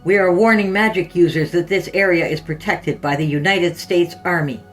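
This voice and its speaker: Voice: medium pitch, moderate pace, fairly bright tone, faint music behind, natural voice. A narrator playing a firm, defiant male army sergeant with a formal, authoritative manner.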